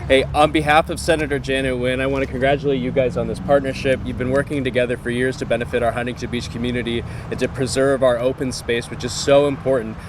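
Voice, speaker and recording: Brief: male staffer, quick and casual, clear outdoor traffic noise. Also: medium pitch, flat delivery. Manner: casual, joking, brisk. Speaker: legislative staffer. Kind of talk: informal speech at a ceremony.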